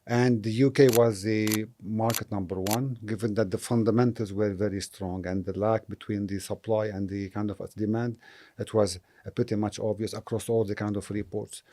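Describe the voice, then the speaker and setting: Hesitant, mellow-voiced man from Lebanon. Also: lowish voice, steady tone, moderate pace. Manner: thoughtful, hesitant with frequent fillers. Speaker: property investor, interview guest. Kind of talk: casual interview answers.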